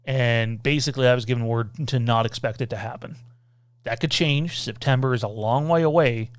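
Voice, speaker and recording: A man hosts a loose livestream Q&A into a desk mic. His voice is smooth and clear, sitting at an ordinary middle pitch, and the sound is close and dry with no room around it. He rambles along, reads out viewer comments and fills his pauses with "uh.". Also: no room sound. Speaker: podcast host. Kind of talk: casual livestream Q&A.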